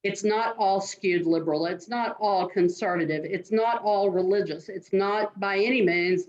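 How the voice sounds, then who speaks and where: A woman's voice: medium pitch, normal speed, darkish tone, dull audio. A middle-aged woman admissions dean answering interview questions in an earnest, direct way, giving students advice. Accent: non-New York American.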